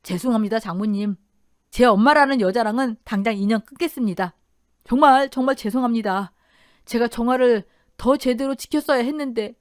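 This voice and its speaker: A woman's voice: fairly high pitch, fairly quick, no echo, clean studio sound, natural voice. A woman voicing a distraught, devoted son-in-law in an audio drama, speaking earnestly. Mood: apologetic, distraught. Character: devoted adult son-in-law, distraught, angry at his mother.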